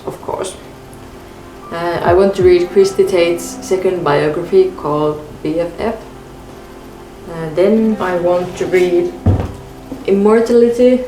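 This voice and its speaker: A young woman whose first language is Finnish reads through a book tag to camera in a calm, measured way from her bedroom. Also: on the low side, reverberant, low-quality recording. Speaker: book vlogger. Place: bedroom in front of a bookshelf, indoors.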